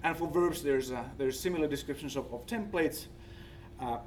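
A man with a Finnish accent gives an academic presentation, speaking haltingly and searching for words. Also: on the high side. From Finland. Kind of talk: conference presentation with slides. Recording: distant mic, faint background noise.

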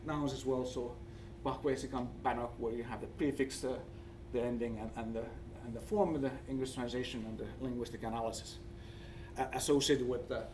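A man with a Finnish accent gives an academic presentation, speaking haltingly and searching for words. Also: mid-range voice, fairly even intonation. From Finland. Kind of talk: conference presentation with slides. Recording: distant mic, faint background noise.

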